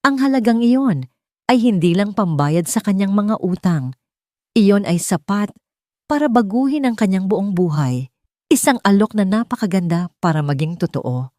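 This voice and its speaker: A woman's voice: medium pitch, animated, moderate pace, mellow, dry recording, clean studio sound. A woman reading a scripted romance story as a dramatic audiobook narrator.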